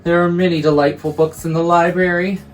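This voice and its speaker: A man doing a small, gentle mouse. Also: fairly high pitch, flat delivery, fairly quick, soft background music, voice pushed up, lightly acted, poor audio. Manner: soft, reassuring.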